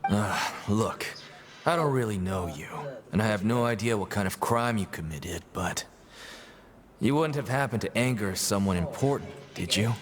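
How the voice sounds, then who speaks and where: An actor playing a guarded, mercenary convict guide in an anime game, talking in a curt, offhand way. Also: male voice, lowish voice, moderate pace, close to an everyday voice. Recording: game music behind.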